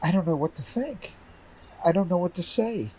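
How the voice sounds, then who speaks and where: A man playing a devoted, grieving husband in a horror audio drama, pleading and bewildered in a halting, emotional delivery. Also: sits high, expressive, darkish tone, a little hiss or hum, low-quality recording. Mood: confused.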